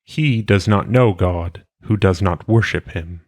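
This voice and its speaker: A man reading philosophical maxims aloud in a solemn audiobook style, with measured, deliberate phrasing. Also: low-pitched, no echo, clean studio sound. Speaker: reader.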